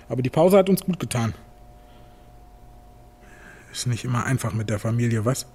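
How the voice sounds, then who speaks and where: Casting: male actor as talkative, homespun hospital patient, mid-range pitch, musing in clipped everyday phrases, slight background noise. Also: darkish tone, lightly acted. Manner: chatty, colloquial, musing in short clipped sentences. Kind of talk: German radio drama acting.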